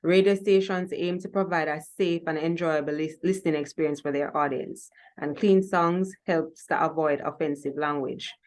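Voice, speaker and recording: A woman's voice: low-pitched, steady tone, moderate pace, hardly any pauses. A woman with a Jamaican accent hosting a music talk show in a conversational style.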